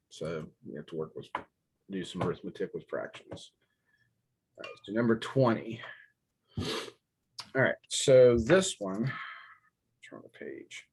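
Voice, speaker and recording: Deep hoarse guy teaching math, raspy from a sore throat, lots of ums. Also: rough recording. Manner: step-by-step, frequent ums and throat clearing. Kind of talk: classroom lecture walkthrough.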